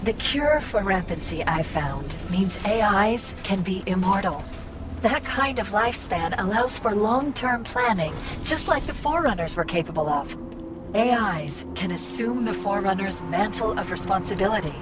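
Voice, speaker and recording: Measured, persuasive female AI played by a woman. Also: medium pitch, animated, close to an everyday voice. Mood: calm, persuasive. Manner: measured, explanatory, reassuring. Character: calm, persuasive female artificial intelligence, idealistic and controlling. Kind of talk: cinematic video game acting. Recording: music behind the voice.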